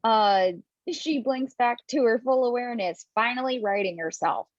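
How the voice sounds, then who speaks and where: A woman's voice: fairly high pitch, no room sound. Woman reading stage directions at home. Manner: reads directions briskly, laughs at her own slips. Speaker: script reader. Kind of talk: table read of stage directions and a role. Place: home, video call. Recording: dull lo-fi home mic.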